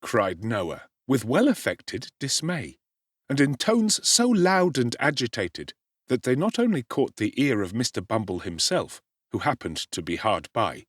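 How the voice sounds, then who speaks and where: A man narrating a classic novel as a theatrical, highly expressive audiobook performance. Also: a little slow, no room sound. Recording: dry close studio sound.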